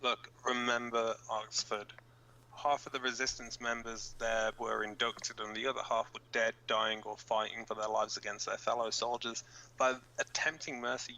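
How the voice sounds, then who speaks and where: A man playing a flustered, blunt resistance fighter who co-hosts a radio broadcast in an amateur audio drama, speaking informally and haltingly. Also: on the low side, gravelly, pushed and bright, low-quality recording. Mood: grim, urgent. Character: flustered, sweary young male resistance fighter reading radio bulletins. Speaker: radio co-host in a fiction drama.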